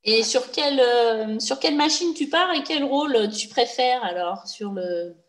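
Woman interviewer, fairly high pitch, friendly, short questions. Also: expressive, a little slow.

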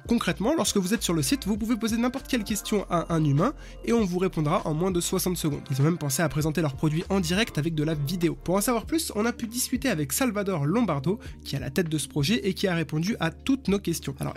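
A male podcast host reading a scripted intro in a brisk, announcer-like way, then asking questions. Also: high voice, animated, soft background music.

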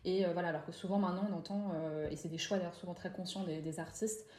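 A woman's voice: fairly low pitch, fairly even intonation, fast talker, darkish tone. A woman hosting an interview, asking long questions full of fillers and restarts. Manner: hesitant, many restarts and fillers. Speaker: podcast host. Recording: echoey room.

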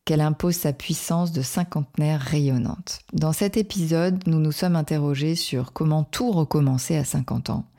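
A woman's voice: low voice, mellow. A woman hosting a podcast as a career coach, reading an upbeat, emphatic scripted introduction and then greeting her guest.

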